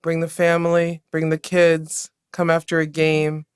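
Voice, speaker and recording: A woman's voice: low voice, completely flat delivery, unhurried, clean tone, no room sound, studio quality. Upbeat woman guest plugging a restaurant. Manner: upbeat, promotional, friendly. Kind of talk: casual interview chat.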